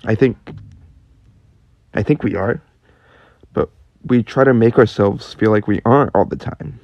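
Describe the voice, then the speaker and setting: Radio drama role: lost, sceptical man, acted by a man. World-weary, fry-edged voice, very dry sound. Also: fairly low pitch, measured pace, darkish tone, no room sound, lo-fi sound. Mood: weary, downcast. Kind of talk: radio play acting.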